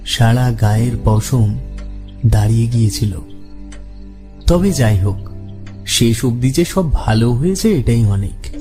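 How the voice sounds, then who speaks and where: Man, story narrator, unhurried pace, warm dark tone, scripted audio drama, faint music. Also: medium pitch, expressive.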